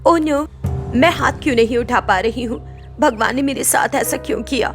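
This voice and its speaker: A woman's voice: on the high side, normal speed, faint music behind, lightly acted. A woman voicing a haughty, aloof film actress and mistress of the house, curt and imperious in a story. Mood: distressed.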